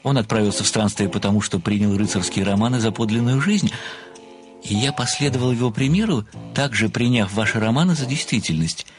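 An actor playing an obsessive, polite male admirer turned amateur detective in an audio play, speaking deliberately and rhetorically. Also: on the low side, music in the background, lightly acted. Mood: earnest. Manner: deliberate, rhetorical, deferential yet insistent.

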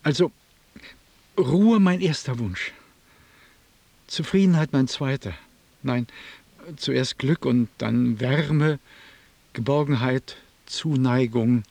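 A weary, husky, lonely man. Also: mid-range voice, slow pace, pauses often, a little mellow, no echo. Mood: weary longing. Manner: pleading, musing, fragmented phrases. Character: weary, broke, lonely man pleading for an apartment. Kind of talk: radio drama acting.

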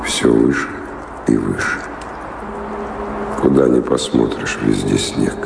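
An actor playing a world-weary wandering singer, calm, dreamy and detached, in a radio drama. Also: male voice, rumbling low, takes it slowly, exaggerated voice. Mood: melancholy musing. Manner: detached, musing, understated. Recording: echoey hall with clear background noise.

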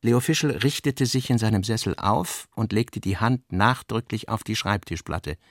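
Gravelly male literary narrator. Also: fairly low pitch, normal speed, no room sound, clean studio sound. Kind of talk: literary scripted narration for radio drama.

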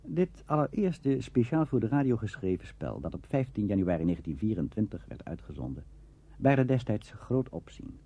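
A man's voice: lowish voice, very animated, moderate pace, warm tone, husky. A man giving scripted documentary narration in a formal, lecturing manner. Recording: dull, muffled old recording.

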